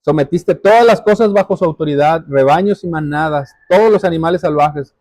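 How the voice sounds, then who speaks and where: A man's voice: fairly high pitch, brisk, darkish tone, no echo. A man preaching a church sermon, reading scripture and exhorting, repeating phrases for emphasis.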